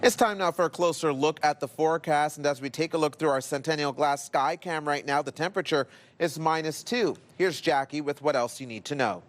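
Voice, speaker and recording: A man's voice: fairly high pitch, fairly quick. A man anchoring a television newscast with scripted, presentational delivery. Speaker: news anchor.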